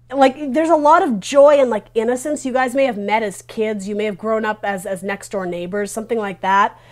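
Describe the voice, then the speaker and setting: A woman in her thirties giving a casual tarot reading, talking informally and reassuringly as she thinks aloud. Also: a little high. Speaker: tarot reader hosting a video reading. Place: small decorated room indoors. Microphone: lavalier clipped to T-shirt.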